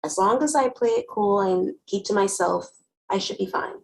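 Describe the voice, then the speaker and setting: A woman playing a wry, guarded, sharp-tongued teenage girl who narrates her own story conversationally in a dramatic novel reading. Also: medium pitch, sing-song, normal speed, creaky. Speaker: actor.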